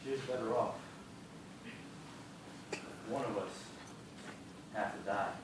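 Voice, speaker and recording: A man's voice: lowish voice, slow. A man playing a stubborn, grim sailor castaway in a stage drama, terse and blunt. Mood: grim, cold. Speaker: stage actor. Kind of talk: theatrical stage acting. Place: indoor theatre stage, recorded from afar. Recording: echoey, noisy, distant stage recording.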